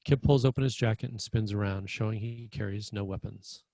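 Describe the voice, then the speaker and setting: A man's voice: lowish voice, steady tone, brisk, mellow, dry recording. A man reading screenplay stage directions as scripted narration.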